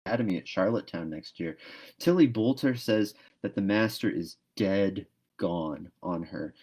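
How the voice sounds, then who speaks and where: A chatty, dreamy storybook schoolgirl, voiced by a man with a fairly low voice. She rambles on earnestly, full of gossip, wordy and dramatic. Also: moderate pace, a little mellow, husky. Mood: gossipy. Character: chatty, dreamy, dramatic eleven-year-old orphan schoolgirl.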